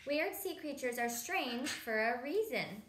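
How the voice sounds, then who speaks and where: A woman in her thirties with a fairly high voice reads a children's book aloud at home. She breaks off for simple, child-directed questions and explanations. The room echoes and there is clear noise in the recording. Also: animated, a little slow. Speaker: parent reading aloud to young children. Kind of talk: children's picture book read-aloud with asides. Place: indoors in a home room, seated at a table.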